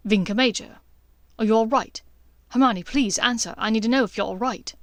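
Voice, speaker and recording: Woman voicing a fretful, lovesick young wizard, high-voiced. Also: a little fast, dry recording, studio quality, close to an everyday voice. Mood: worried, pleading. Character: worried young male wizard, intense, lovesick.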